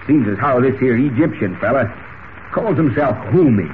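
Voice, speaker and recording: A man's voice: medium pitch, expressive, normal speed, lightly acted. A man playing a folksy, talkative cowboy in an old radio serial, with a drawling American Western accent. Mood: folksy storytelling. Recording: muffled old radio recording with hiss.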